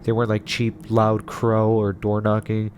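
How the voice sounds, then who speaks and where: A man's voice: lowish voice, completely flat delivery, normal speed, a little mellow, a little hiss or hum. A man giving casual video commentary and recommendations in an informal, rambling, conversational way. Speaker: video host and reviewer.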